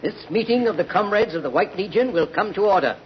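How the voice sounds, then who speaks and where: The imperious head of a secret tribunal in an old radio play. His voice is high for a man, curt and ceremonious, on a dull recording. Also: expressive, fast, background noise. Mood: ceremonial. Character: stern, imperious male commander of a vigilante cult. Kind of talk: 1940s radio drama acting.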